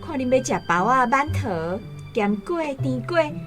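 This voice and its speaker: A woman's voice: sits high, sing-song, unhurried. A woman playing a hardworking mother of many children, scolding yet tender, in a radio drama with a Taiwanese accent. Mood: indulgent. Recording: guitar music under the voice.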